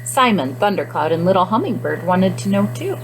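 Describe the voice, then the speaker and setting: A woman teacher speaking to young children in simple, expressive phrases during a guided reading lesson. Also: lowish voice, a little fast, faint music behind, low-quality recording. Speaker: classroom nutrition teacher.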